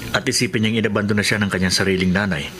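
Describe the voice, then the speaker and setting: A man's voice: lowish voice, fairly even intonation, racing, close to an everyday voice. A man playing a concerned, inquisitive friend in a Filipino radio drama, probing in a familiar, conversational way. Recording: noisy radio drama audio.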